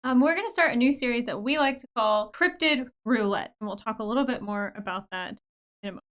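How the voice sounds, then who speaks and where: An American woman co-hosting a podcast, chatting casually and expressively and quick to laugh. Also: a little high, lively intonation, fairly bright tone. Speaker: podcast co-host. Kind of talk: casual chat.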